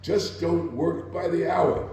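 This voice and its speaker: A man's voice: a little high, fairly even intonation, takes it slowly, darkish tone, a little hiss or hum, pitched above the performer's own voice. A man voicing a seasoned, streetwise woman day worker who gives blunt, laconic advice in a dramatic reading. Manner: laconic, warning, colloquial. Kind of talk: dramatic reading of archival testimony. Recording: echoey room, mic far away.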